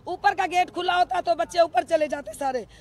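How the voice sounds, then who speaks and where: Middle-aged woman, very high pitched, accusing, outdoor press mics. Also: brisk. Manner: impassioned, accusing, rhetorical questions. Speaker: bereaved mother speaking to press. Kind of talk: emotional press statement. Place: outdoors in a crowded street. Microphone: cluster of press microphones.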